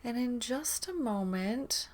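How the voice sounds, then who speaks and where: Slow-paced woman guiding meditation. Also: a little high, expressive. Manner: gentle, soothing, unhurried with long pauses. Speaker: meditation guide. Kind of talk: guided meditation.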